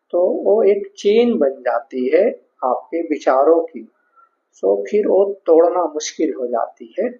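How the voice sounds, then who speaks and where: A man with an Indian accent leading a guided meditation as a teacher, giving instructions in short phrases with pauses. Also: on the high side. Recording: dull lo-fi sound.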